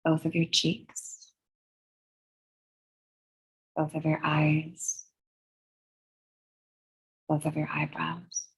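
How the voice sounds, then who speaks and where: A woman leading a guided meditation in a soothing, measured, breathy way, leaving long pauses between phrases. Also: low voice, monotone, low-quality recording. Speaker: guided meditation and yoga teacher.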